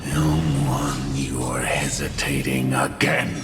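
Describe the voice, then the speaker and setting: An actor playing a sinister, proud warlike spirit in a video game, slow, imperious and taunting. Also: male voice, extremely low pitch, little pitch movement, gravelly, heavily acted. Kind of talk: dramatic game voice acting. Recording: echoing voice over game music.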